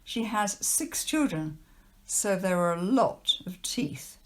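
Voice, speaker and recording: A woman's voice: mid-range voice, expressive, takes it slowly, a bit bright. A woman reading a storybook, voicing a stoical governess in a dry, matter-of-fact, expressionless manner. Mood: dry, deadpan.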